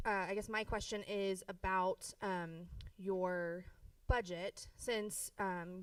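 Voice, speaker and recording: A woman's voice: mid-range voice, no room sound, recorded from a distance. A woman judging a youth contest, friendly and hesitant, with many ums and you knows. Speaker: contest judge.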